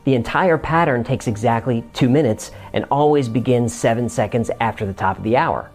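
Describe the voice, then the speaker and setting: A man's voice: mid-range voice, fairly quick, a little mellow, soft background music. A man hosting a scripted explainer, narrating it in a conversational way with room for comic banter. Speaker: host.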